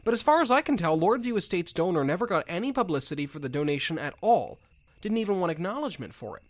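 A man's voice: high-pitched, sing-song, quick pace, no echo. A man playing a nerdy, earnest field researcher rambling into an audio log in a drama, with insects behind him. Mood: wistful, musing. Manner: rambling, hesitant, exclaiming.

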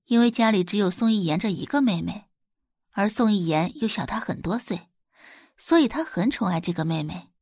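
A woman narrating a scripted story with expressive, dramatic delivery. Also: medium pitch, normal speed, breathy edge, dry recording, clean studio sound.

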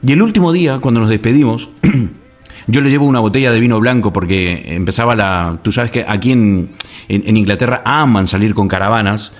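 A man from Argentina, a guest on a radio talk show, telling stories in an animated way with many restarts and fillers. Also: fairly low pitch, fairly quick. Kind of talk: casual radio conversation.

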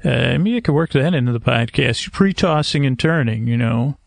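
Creaky-voiced man rambling a bedtime monologue. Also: medium pitch, animated, no echo. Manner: halting, digressive, trailing self-interruptions. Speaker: podcast host. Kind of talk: rambling, meandering bedtime monologue to help listeners sleep.

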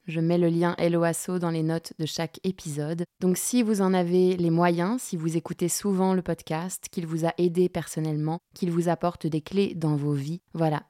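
A woman's voice: fairly low pitch, no room sound, clean studio sound. A woman hosting a podcast, reading a scripted introduction. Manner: warm scripted reading, hesitant when asking. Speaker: podcast host. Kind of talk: scripted intro, then casual interview.

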